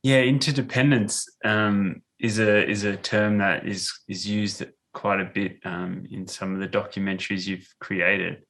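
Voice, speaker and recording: A man hosting a podcast interview, asking questions in a halting way with many fillers. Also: on the low side, fairly even intonation, a bit bright. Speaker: podcast host.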